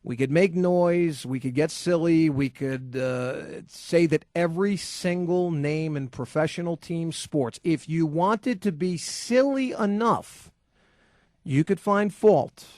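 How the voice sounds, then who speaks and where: A man's voice: a little high, slow pace, darkish tone, no echo. A man hosting a sports call-in radio show, ranting combatively and sarcastically into a studio microphone. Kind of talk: heated opinion monologue and call-in.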